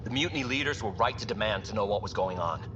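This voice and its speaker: A man's voice: fairly low pitch, expressive, fast, close to an everyday voice. A man playing a bitter, defiant station worker in a video game. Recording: muffled, clear vehicle-like background noise.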